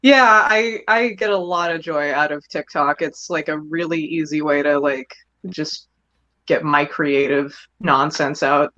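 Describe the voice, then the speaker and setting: A woman's voice: sits low, a little slow, pushed and bright, lo-fi sound. A woman chatting casually as a podcast guest. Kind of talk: casual chat.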